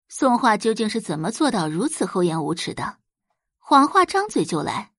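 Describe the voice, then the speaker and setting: Female audiobook narrator, expressive scripted storytelling, very varied intonation, close dry sound. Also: fairly high pitch, slightly rough, no room sound.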